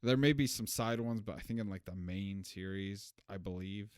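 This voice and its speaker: A man's voice: deep voice, normal speed, darkish tone, clean tone, no echo, studio quality. A young man co-hosting a podcast, chatting casually about a movie he just saw, rambling and correcting himself. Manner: rambling, self-correcting, laughs at own jokes. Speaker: podcast co-host. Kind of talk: casual film review chat.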